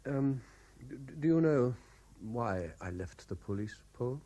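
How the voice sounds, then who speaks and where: An actor with a British accent playing a sceptical, worldly middle-aged lawyer in a 1970s radio drama, urbane and probing, sometimes stumbling into restarts. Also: male voice, medium pitch, expressive, a little slow, mellow. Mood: reflective, uneasy.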